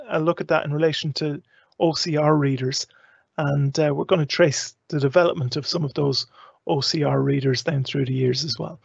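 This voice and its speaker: Husky, creaky-voiced man hosting. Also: fairly high pitch, darkish tone, no room sound, dull audio. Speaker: host of a live tech show. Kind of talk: casual live show presenting.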